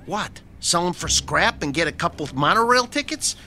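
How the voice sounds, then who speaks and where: An actor playing an anxious sidekick in a radio drama, talking in a nervous, pleading, stammering way. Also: male voice, high-pitched, animated, normal speed, bright, forceful tone, faint music behind, exaggerated voice.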